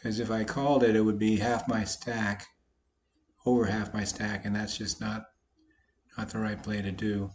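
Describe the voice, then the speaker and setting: A slightly hoarse man, a poker vlogger, casually talking through his online poker hands with a folksy, self-deprecating manner. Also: on the low side, little pitch movement, moderate pace, a little mellow, a little hiss or hum. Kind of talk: casual commentary over online poker play.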